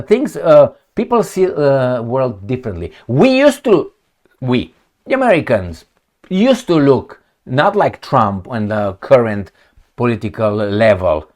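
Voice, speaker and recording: Middle-aged male, average pitch. Solo commentator, emphatic and loose, wandering into asides. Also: darkish tone. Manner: rambling, emphatic, with trailing asides. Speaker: video commentator. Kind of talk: off-the-cuff political commentary to camera. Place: small home office, indoors.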